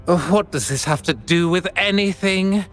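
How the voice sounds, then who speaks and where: A man's voice: sits high, a little slow, a bit bright, soft background music. A man voicing a smug, scheming male art dealer in a video game, condescending and dismissive.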